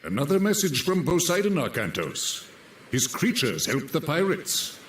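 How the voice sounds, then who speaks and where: Solemn counselor in an echoing hall. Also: male voice, on the high side, sing-song, moderate pace, a little hiss or hum. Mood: grave. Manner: solemn, insistent. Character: grave, solemn counselor of Atlantis urging duty. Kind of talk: dramatic video game cutscene acting.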